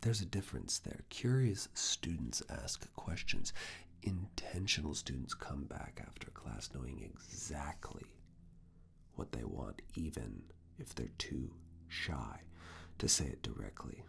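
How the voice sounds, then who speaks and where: Very deep whispering man, slow and creaky. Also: big pitch swings, fairly bright tone. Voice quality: breathy whisper mixed with very low creaky murmur. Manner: slow, deliberate, teasingly stern, intimate. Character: stern, teasing, controlled university professor. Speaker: ASMR roleplay performer as a professor. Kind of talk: ASMR personal attention roleplay.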